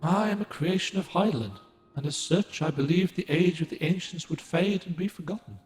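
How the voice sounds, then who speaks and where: An actor voicing a solemn ancient guardian spirit of the moon in a game, speaking in a formal, archaic, gentle and measured way. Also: male voice, on the high side, reverberant, in a large hall, faint music behind, lightly acted, poor audio. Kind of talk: game cutscene dialogue.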